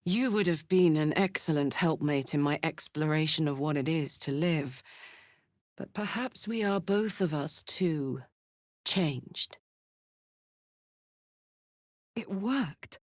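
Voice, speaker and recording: An actress playing a brash, earthy, big-hearted woman warrior in a video game, blunt and informal, quick to swear. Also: low-pitched, expressive, no room sound, exaggerated voice. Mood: tender, earnest. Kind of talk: video game character voice acting. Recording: dry close studio recording.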